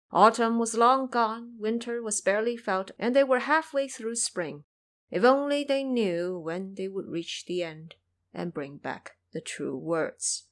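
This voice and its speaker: A woman narrating a scripted story as a storyteller. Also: medium pitch.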